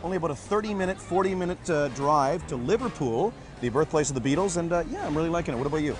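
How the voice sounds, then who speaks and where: A Canadian man co-hosting a travel show, joking and bantering with quick patter outdoors in a city. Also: a little high, expressive. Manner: jokey and playful, quick patter.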